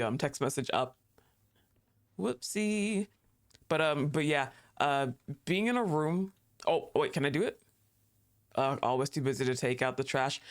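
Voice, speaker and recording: A streamer chatting casually and thinking aloud while playing a game, laughing often and talking back at the screen. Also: medium pitch. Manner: thinks aloud with fillers and restarts.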